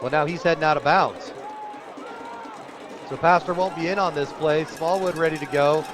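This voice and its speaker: A man's voice: a little high, normal speed. An American man doing live radio play-by-play commentary of a football game. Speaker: play-by-play announcer. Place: outdoor high school football stadium. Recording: loud crowd behind, outdoor stadium broadcast. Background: crowd noise.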